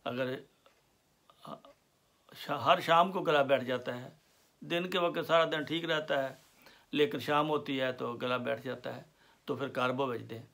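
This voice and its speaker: A man lectures on homeopathic remedies at an unhurried crawl. His voice carries a gravelly fry, and he takes his time, filling gaps with soft hesitations as he lists symptoms. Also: medium pitch. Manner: slow and deliberate, with pauses and fillers. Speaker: homeopathy teacher. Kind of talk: slow explanatory lecture.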